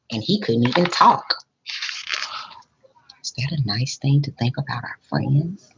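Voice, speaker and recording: Female storybook reader, teacherly, low register, very animated intonation, slightly lo-fi sound. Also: slightly rough. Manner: teacherly, pausing to question young listeners. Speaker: school principal reading aloud to children. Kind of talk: expressive picture-book read-aloud with questions to listeners.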